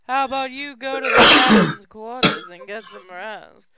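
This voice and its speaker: A woman's voice: fairly high pitch, moderate pace, sharp tone, clear, resonant tone. A woman playing an earnest space navy officer in a visual novel read-aloud.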